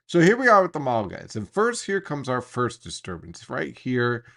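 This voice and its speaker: A man presenting an unscripted weather briefing as a forecaster, explaining things emphatically with deliberate pauses. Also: mid-range voice, huge pitch swings, gravelly, bright, forceful tone.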